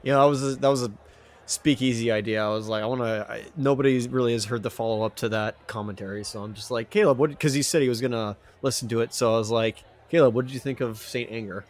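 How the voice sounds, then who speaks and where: A man's voice: mid-range voice, fairly even intonation, fast. A man with a mid-range voice hosts a casual podcast. He talks in loose, bantering fashion as he prompts his co-hosts. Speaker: podcast host.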